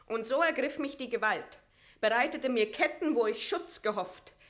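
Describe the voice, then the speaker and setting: A proud, high-voiced captive queen. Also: expressive, normal speed, fairly bright tone. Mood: wounded pride. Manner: declamatory, formal, impassioned. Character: proud captive Scottish queen, defiant and eloquent. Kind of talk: classical German stage verse radio acting.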